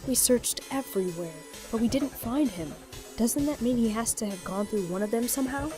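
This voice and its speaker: A woman's voice: fairly high pitch, expressive, moderate pace, over music, natural voice. A woman playing a cool, pragmatic, commanding character in a game fan dub, persuasive and businesslike.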